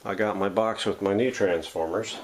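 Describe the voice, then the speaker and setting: A man's voice: lowish voice, steady tone, normal speed, slight background noise. A man hosting a casual, unscripted workshop demonstration, talking through each step as he handles the parts. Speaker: host of a vintage radio restoration channel. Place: home workshop.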